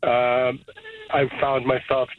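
A man born in Canada, calling in to a radio talk show and thinking aloud with pauses and restarts. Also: medium pitch, monotone. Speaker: caller. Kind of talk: call-in radio conversation. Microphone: telephone. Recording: tinny phone line with faint hiss.

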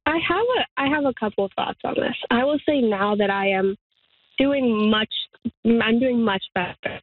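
A woman's voice: on the high side, no room sound. Young woman on a tinny phone line. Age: 20s. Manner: earnest, halting with restarts, laughs lightly. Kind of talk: candid radio interview.

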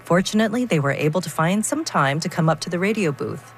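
Lady radio host, lowish voice, smooth scripted read, faint hum behind. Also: animated. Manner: polished, measured read.

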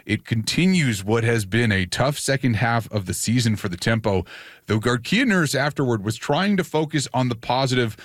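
A man giving a brisk, informative sports report on morning radio. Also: medium pitch, dry recording, clean studio sound.

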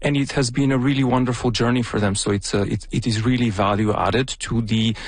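A man's voice: mid-range voice, steady tone, fairly quick, no echo. A middle-aged man whose first language is Bulgarian, a professor explaining earnestly as a guest in a radio studio, with some stammering restarts. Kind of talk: radio interview. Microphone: studio microphone.

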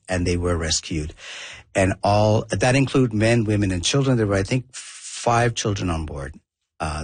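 Interview guest: man from southern India, Tamil first language, husky low voice, reflective and meandering, dry close sound. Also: no room sound. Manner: reflective, rambling with restarts. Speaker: documentary filmmaker guest. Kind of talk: conversational interview.

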